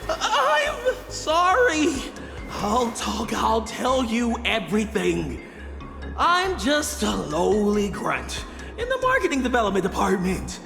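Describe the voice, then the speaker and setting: An actor voicing a cowardly, lowly office grunt in a game, babbling and stammering with nervous giggles. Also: huge pitch swings, takes it slowly, gravelly, over music, full character voice. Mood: panicky, pleading. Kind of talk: animated game voice acting. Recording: very echoey hall with long reverb.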